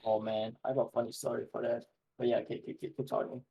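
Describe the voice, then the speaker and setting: A laid-back man co-hosting a podcast, chatting easily in slang. His mid-pitched voice carries a slight husky edge. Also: flat delivery, fast, highs cut off. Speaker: podcast co-host. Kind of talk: casual podcast chat.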